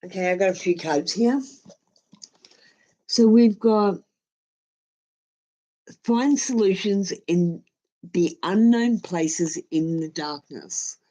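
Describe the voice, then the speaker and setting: Low-voiced, slow-talking woman reading tarot. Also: mellow, poor audio. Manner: blunt, informal, sweary. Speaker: tarot reader and live stream host. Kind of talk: casual live tarot reading, giving advice.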